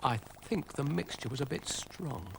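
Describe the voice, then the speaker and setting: A man's voice: mid-range voice, lively intonation, moderate pace, vocal fry, heavy background noise, pitched above the performer's own voice. A man voicing a fussy, self-important male dog who mutters.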